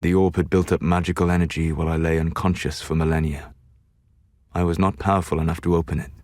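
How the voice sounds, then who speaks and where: An actor playing a solemn, learned elven mage in a video game, speaking in measured, formal, eloquent phrases with a grave, regretful manner. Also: male voice, a bass voice, little pitch movement, a little mellow, dry recording, clean studio sound. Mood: weary, solemn. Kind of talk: video game character dialogue.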